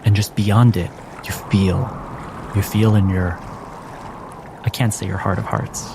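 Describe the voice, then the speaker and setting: A man narrating a tabletop game as game master, with deliberate, dramatic phrasing. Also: fairly low pitch, little pitch movement, noisy background. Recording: music behind the voice. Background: clear music.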